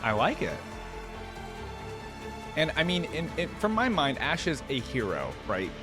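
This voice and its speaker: A man's voice: a little high, huge pitch swings, a little slow. A man hosting a casual reaction video, musing aloud in an informal way. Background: music from the video being watched.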